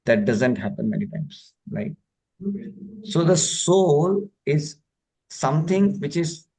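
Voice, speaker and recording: A man's voice: on the high side, husky, poor audio. A man from India speaks with an Indian accent, teaching a spiritual class online. He explains things patiently, with many fillers and frequent checks that his listeners follow. The sound has a video call quality. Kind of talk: online lecture.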